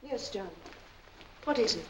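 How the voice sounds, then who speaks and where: A woman's voice: fairly high pitch, expressive, unhurried, slight background noise, rough recording. A woman playing a genteel, protective middle-aged mother in a 1940s film, formal and imploring. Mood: deferential, worried. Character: indignant, protective, genteel mother of grown man.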